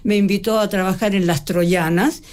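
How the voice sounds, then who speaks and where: An older woman, a stage actress, answering interview questions in a reflective, halting way with frequent restarts. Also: mid-range voice, fairly even intonation, a little mellow. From Mexico. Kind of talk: conversational interview.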